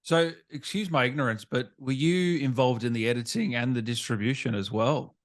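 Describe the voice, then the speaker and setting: A man's voice: mid-range voice, moderate pace, no echo, studio quality. A man hosting an interview, asking questions in a casual, conversational way. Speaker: interviewer, host.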